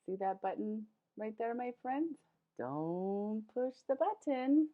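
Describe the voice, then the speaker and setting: A woman reads a storybook to little kids at a very slow, careful pace. Her high voice swings widely in pitch and she speaks straight to the children with expressive emphasis. The recording is slightly dull and lo-fi. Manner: expressive, addresses children directly, dramatic gasps and emphasis. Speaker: teacher reading a picture book to young children. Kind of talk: animated children's storybook read-aloud.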